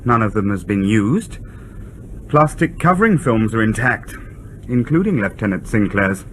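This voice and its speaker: Junior submarine officer: blunt, courteous, matter-of-fact, animated intonation over light background noise. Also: male voice, fairly low pitch, moderate pace. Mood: blunt, serious. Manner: polite but direct, matter-of-fact. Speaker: actor in a radio drama. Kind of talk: British radio drama acting.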